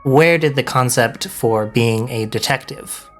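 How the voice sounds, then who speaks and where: A man's voice: medium pitch, faint music behind. A man hosting a casual livestream interview, asking questions in an encouraging, good-humoured manner. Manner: encouraging, quick to laugh. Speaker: interviewer, stream host.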